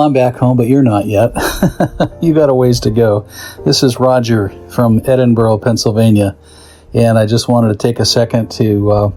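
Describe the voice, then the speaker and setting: A man with a warm, dark-toned voice of middling pitch leaves a casual message. He rambles and hesitates in a chatty way, with light guitar music behind him.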